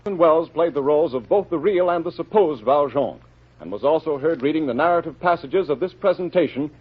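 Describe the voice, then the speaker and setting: Higher-voiced man, vintage radio announcer. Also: husky. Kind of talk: formal 1930s radio announcing, scripted. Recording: dull vintage radio broadcast.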